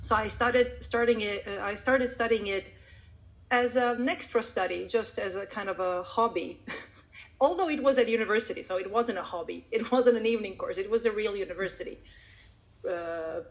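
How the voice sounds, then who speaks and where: A woman from Croatia, a language teacher telling a personal story in an animated, chatty, self-interrupting way. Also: mid-range voice, moderate pace. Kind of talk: casual personal storytelling at a live online event.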